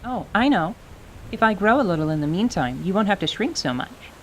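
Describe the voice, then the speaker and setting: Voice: mid-range voice, lively intonation, brisk, a little hiss or hum, pitched above the performer's own voice, lightly acted, rough recording. A performer voicing an eager, stubborn young winged girl with a pleading, youthful manner in an audio drama.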